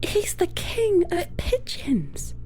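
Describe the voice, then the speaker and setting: An eager baby bird from a storybook as a woman narrator plays it, pitched very high and bright. It is small, young and impatient, full of wide-eyed wonder. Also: huge pitch swings, slow, soft background music, full character voice. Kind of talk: storybook character voice.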